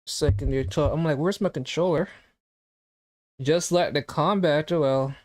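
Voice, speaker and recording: A young male streamer with a somewhat high voice, chatting loosely and reacting to his chat. He teases viewers in a joking, offhand way while he plays. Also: unhurried. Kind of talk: casual live-stream chat.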